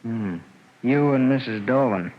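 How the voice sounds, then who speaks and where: An actor playing a practical, level-headed investigator with a casual, musing manner in an old-time radio drama. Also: male voice, mid-range voice, unhurried, lightly acted. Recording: dull vintage radio recording, faint noise.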